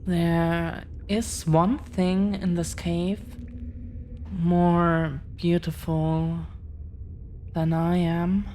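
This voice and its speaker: Very slow, gentle dragon girlfriend, acted by a woman. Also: fairly low pitch, flat delivery, slight background noise. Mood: affectionate. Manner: gentle, hesitant, lingering pauses between phrases. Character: affectionate, protective dragon-descended girlfriend, shy and earnest. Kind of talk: roleplay audio, intimate scripted drama.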